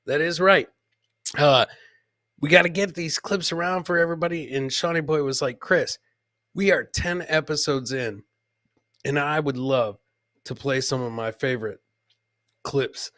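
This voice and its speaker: Guy hosting a comedy podcast, bright forceful voice, close dry sound, chatty, repeats himself a lot. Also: fairly high pitch, measured pace, long pauses, no room sound. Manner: repeats phrases for emphasis. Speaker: podcast host. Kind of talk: casual live comedy clip commentary.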